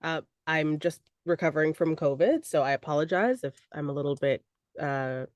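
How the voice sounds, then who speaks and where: A young woman co-hosting a casual podcast with her father, hoarse and congested after an illness, playful and teasing. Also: low voice, normal speed, a little mellow, dry recording. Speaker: podcast co-host. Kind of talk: casual chat. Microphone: recording online.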